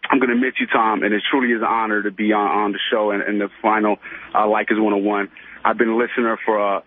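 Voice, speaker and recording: A man's voice: fairly low pitch, little pitch movement, quick pace. A man in his twenties calling a radio show, chatting casually in an earnest, effusive way. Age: 20s. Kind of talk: casual chat. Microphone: telephone. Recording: tinny phone line.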